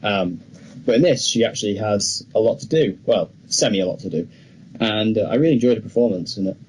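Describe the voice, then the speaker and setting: A man's voice: on the low side, brisk, darkish tone. A young British man co-hosting a film podcast, chatting casually as he reviews movies. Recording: clearly noisy background ambience.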